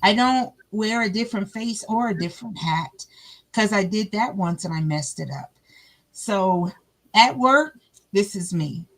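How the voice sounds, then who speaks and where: A woman with a big, loud voice, a guest talking about her faith in a lively way and acting out her own conversations. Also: medium pitch, unhurried. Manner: animated, plays out her own dialogue. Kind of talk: faith testimony chat.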